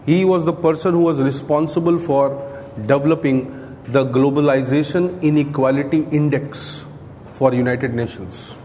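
A man's voice: on the high side, fairly even intonation, moderate pace, mellow. A middle-aged man with an Indian accent giving a classroom lecture, explaining point by point and often checking in with "right?". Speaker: coaching lecturer. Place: indoor classroom, at a podium beside a screen. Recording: echoey room with clear background noise.

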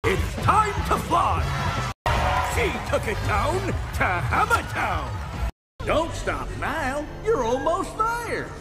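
Crazy high evil mascot host, cartoon, echoey, loud music behind. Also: male voice, huge pitch swings, takes it slowly, heavily acted. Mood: gleeful menace. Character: manic animal mascot show host, gleefully menacing. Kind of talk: theatrical villain voice lines. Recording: echoey hall reverb under loud music.

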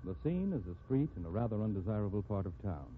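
An actor playing the announcer of a mystery show, narrating deliberately in scripted 1950s radio style. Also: male voice, fairly low pitch, very animated, slight background noise. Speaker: announcer and scene-setting narrator. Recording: tinny 1950s radio recording with music.

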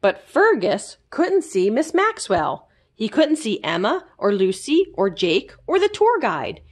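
A woman reads a children's storybook aloud at a slow, careful pace. Her intonation is lively and varied, and she leans on key words with dramatic pauses. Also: fairly high pitch. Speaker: library storyteller.

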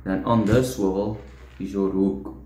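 A young man explaining step by step how to tie fishing tackle, in a halting, plain way while he works at a table indoors. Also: lowish voice, steady tone, warm tone. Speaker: fishing tutorial host. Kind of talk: step-by-step demonstration. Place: indoors at a wooden table, echoey room. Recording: roomy echo, faint room noise.

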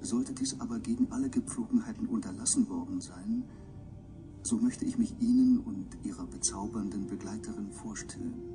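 An actor in a German radio drama playing a ceremonious, wry alien scholar with measured, elaborately polite speech. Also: male voice, lowish voice, expressive, recorded from a distance, over music, natural voice. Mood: courteous, wry.

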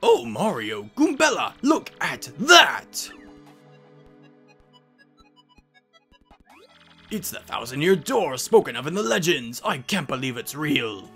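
A man voicing an elderly, pedantic, excitable professor creature in a video game, with a theatrical delivery. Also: fairly high pitch, a little slow, few pauses, breathy edge, faint music behind, full character voice. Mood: awed.

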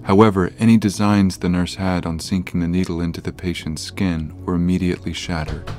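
A man's voice: deep voice, flat delivery, fairly quick. A man narrating a scripted horror story as the narrator of an audio drama. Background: music.

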